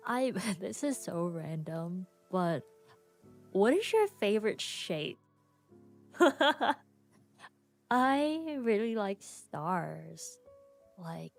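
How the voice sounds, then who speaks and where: A young woman hosting her own podcast, tired, chatting casually with pauses and soft laughs. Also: on the low side, vocal fry. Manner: soft, rambling, frequent pauses and little laughs. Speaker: podcast host and streamer. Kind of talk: casual solo podcast chat. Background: soft background music.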